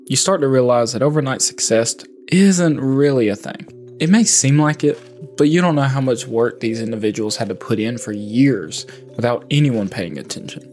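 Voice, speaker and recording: A man hosting a podcast, reading measured, reflective narration and asking questions. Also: medium pitch, a little mellow. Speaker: podcast host. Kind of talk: scripted narration and interview questions. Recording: faint music bed behind.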